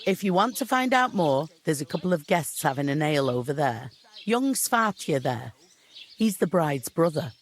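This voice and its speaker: A man's voice: a little high, very animated, moderate pace, a little hiss or hum. A man playing a chatty, good-natured rustic tavern local in a medieval video game, with folksy country speech. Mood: helpful warning. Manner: folksy, colloquial rural speech.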